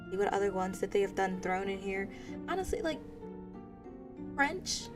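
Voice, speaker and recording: A young American woman streaming a game, chatting playfully with viewers and reading story text aloud. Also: on the high side. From United States. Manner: chatty, playful, talks to chat. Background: game music.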